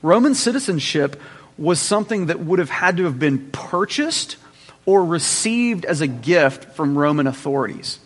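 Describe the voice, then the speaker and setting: A man with a slightly higher voice teaches a Bible class in a relaxed, conversational way. He often checks in with his listeners as he explains. His voice carries a long reverb, as if recorded in a large hall. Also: moderate pace.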